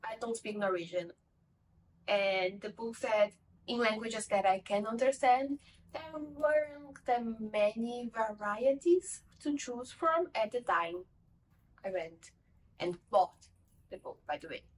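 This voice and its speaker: A young woman with Portuguese as her first language talks through a book review in a loose, rambling way, often stopping to fix her words. Her voice sits slightly high. She is recorded at home from a camera placed a little away from her, with faint room ambience underneath. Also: takes it slowly, far from the mic, a little hiss or hum, rough recording. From Portuguese-speaking country. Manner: chatty, rambling, self-correcting. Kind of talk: casual book review vlog.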